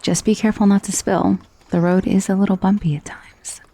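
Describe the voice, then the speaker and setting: A gentle, possessive vampire noblewoman, acted by a woman in an ASMR audio roleplay. Her voice is soft and mellow with a lot of breath in it, often sinking to a whisper. She soothes in a genteel, coaxing way, very close to the mic in a dry, echoless space. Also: medium pitch, steady tone, a little fast, slightly rough. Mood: soothing, welcoming. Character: gentle, wealthy, possessive female vampire mistress, centuries-old undead. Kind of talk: ASMR roleplay, intimate soft-spoken monologue. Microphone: close, dry microphone.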